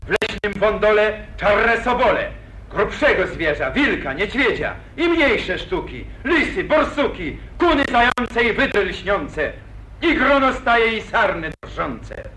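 An actor playing a boastful young hero of an old epic, declaiming verse with pathos in 1940s radio acting. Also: male voice, high-pitched, big pitch swings, slow pace, sharp tone, slight background noise, heavily acted. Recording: echoey old radio recording.

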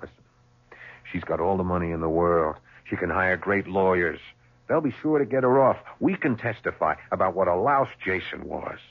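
A man's voice: low voice, normal speed, gravelly, slightly rough, full character voice. An actor playing a desperate, suspicious man accused of murder, arguing insistently in a 1970s radio drama. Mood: bitter, pressing. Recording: muffled old radio broadcast.

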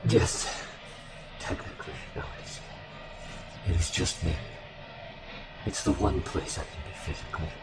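An actor playing a sly, resigned captive villain in an audio drama, speaking in a sardonic, theatrical way. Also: male voice, deep voice, steady tone, unhurried, long pauses, music in the background, rough recording.

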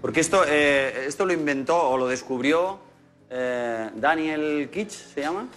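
A man in his forties with a Spain Spanish accent and a high, bright, forceful voice, hosting from a big TV studio on a lapel mic. He teases his guest playfully and fires quick questions, with faint music behind. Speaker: TV show host. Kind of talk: lively TV interview. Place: large TV studio set.

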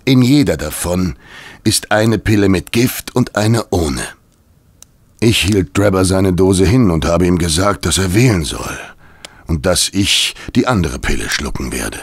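An actor in a German audio drama playing a weary, dying London cabman who confesses with halting, grave bitterness. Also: low-pitched, expressive, breathy edge, no echo, heavily acted. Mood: grave confession.

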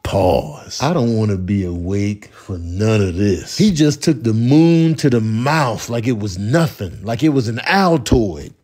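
Comic American male voiceover reactor: husky, incredulous, slangy, very animated pitch. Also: a little slow, few pauses. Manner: incredulous, slangy, emphatic repetition. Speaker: comedy voiceover creator.

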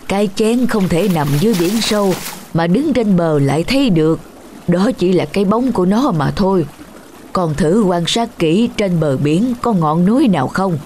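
A woman voicing a frail, wise old father in a cartoon folktale, giving counsel gently. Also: lowish voice, animated, normal speed, a little hiss or hum, lightly acted. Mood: patient, advising.

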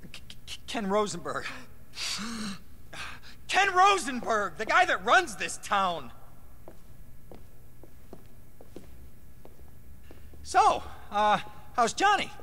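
An actor playing a panicky, self-pitying, foul-mouthed mob lawyer in a game, frantic, stammering and shrill. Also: male voice, huge pitch swings, slow, pauses often, pushed and bright, full character voice. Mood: nervous bravado. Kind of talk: video game cutscene acting.

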